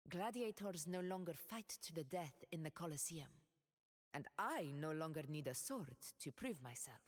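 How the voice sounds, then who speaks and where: A woman voicing a proud noble former gladiator in a game, speaking in a measured, dignified way. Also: deep voice, in a large hall, exaggerated voice. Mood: solemn. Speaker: game character. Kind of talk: game character voice lines.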